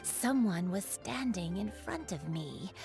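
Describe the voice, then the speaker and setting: A woman playing a gentle, forgetful old grandmother in a video game, speaking in a wandering, absent-minded way. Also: mid-range voice, lively intonation, slow pace, creaky, faint music behind. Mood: wistful, musing.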